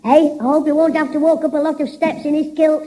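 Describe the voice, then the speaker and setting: An actor playing a cheeky, mischievous schoolboy in a 1960s radio sitcom, chirpy and giggling. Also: male voice, very high-pitched, little pitch movement, fast talker, dark tone. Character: cheeky mischievous schoolboy, small, always joking. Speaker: title character of a radio comedy.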